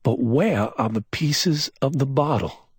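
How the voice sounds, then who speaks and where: A man voicing a slow, baffled male officer from the Carolinas, hesitant and drawling. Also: medium pitch, animated, husky, dry recording, studio quality, pitched above the performer's own voice, full character voice. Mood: startled.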